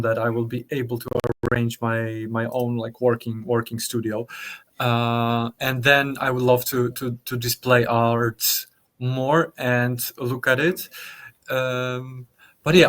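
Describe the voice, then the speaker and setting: A young man with a Polish accent talks through his ideas in an interview. He speaks carefully, pausing often and restarting as he looks for the right English word. His delivery is reflective and unhurried. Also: mid-range voice, fairly bright tone. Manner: thoughtful, hesitant, many fillers and restarts. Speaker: guest artist. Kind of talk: conversational interview.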